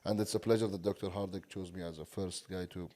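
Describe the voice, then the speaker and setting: A man whose first language is Arabic, a bodybuilding coach talking as a podcast guest, halting with many fillers. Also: low-pitched, steady tone, dark tone. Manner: hesitant, many fillers and restarts. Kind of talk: casual podcast interview.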